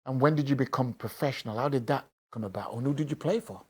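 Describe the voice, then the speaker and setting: A man hosting a local television interview, with a voice of ordinary pitch. He speaks plainly and asks several questions in a row. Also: quick pace, slightly rough. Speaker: presenter and interviewer.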